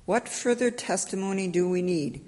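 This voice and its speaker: A woman's voice: mid-range voice, moderate pace, mellow, slight background noise, natural voice. A woman playing a stern, accusing council elder in a dramatic reading of scripture. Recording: echoey church hall, long reverb.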